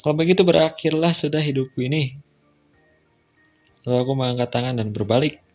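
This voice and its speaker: A man's voice: medium pitch, steady tone, brisk, a little mellow. A man with an Indonesian accent reading game dialogue aloud, with casual, slangy side comments. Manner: slangy, informal interjections. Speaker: visual novel let's-play streamer. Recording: dull lo-fi sound with music. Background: background music.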